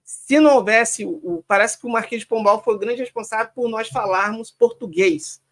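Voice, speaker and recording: Relaxed Brazilian host, high voice. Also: male voice, normal speed. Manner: relaxed, informal sign-offs. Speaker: live-stream host. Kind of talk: casual live-stream hosting, reading viewer comments.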